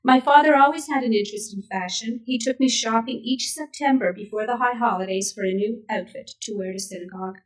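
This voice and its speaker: A woman in her sixties from Canada, whose first language was Yiddish, reading a prepared speech at a memorial event in a measured, steady way. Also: on the high side. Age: 60s. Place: synagogue event hall. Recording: voice picked up from a distance.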